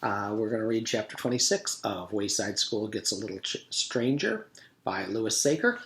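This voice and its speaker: A man's voice: fairly low pitch, lively intonation, normal speed, echoey room, slight background noise. A man narrating a children's storybook aloud as a teacher would for pupils.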